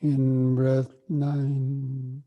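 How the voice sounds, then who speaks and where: A man guiding a breathing meditation, speaking softly and evenly with long pauses between phrases. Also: mid-range voice, dull audio. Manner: slow, soft and even, with long pauses. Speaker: meditation teacher.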